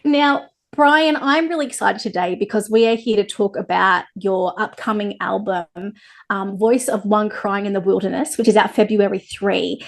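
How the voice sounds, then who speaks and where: A woman's voice: mid-range voice, moderate pace, vocal fry. A woman with an Australian accent hosting a conversational interview in an effusive, enthusiastic way. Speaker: interviewer, host.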